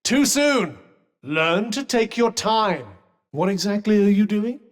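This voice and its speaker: A sardonic enchanted cuff for a video game: middle-aged, refined British male, fairly high voice, dry and pompous, drenched in long reverb. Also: lively intonation, takes it slowly. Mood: mocking, goading. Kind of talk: game companion combat barks.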